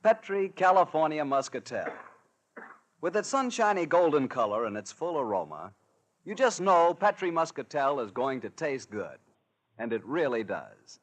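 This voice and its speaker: A man with an American accent announcing and pitching a sponsor in 1940s radio style, with enthusiastic, flowing salesmanship. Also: very animated, normal speed.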